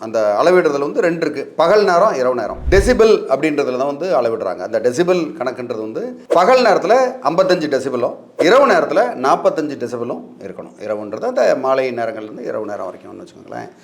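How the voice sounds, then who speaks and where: A man's voice: mid-range voice, sing-song, quick pace, gravelly. A man with a Tamil Nadu accent giving informal legal advice as an expert, emphatic and colloquial, mixing in English words. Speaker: legal expert guest answering questions. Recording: echoey, reverberant room.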